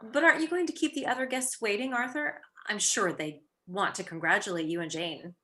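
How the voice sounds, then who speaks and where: A woman's voice: mid-range voice, normal speed, pushed and bright, lightly acted. A woman playing a clever, teasing young society wife with playful, wry delivery in a radio play.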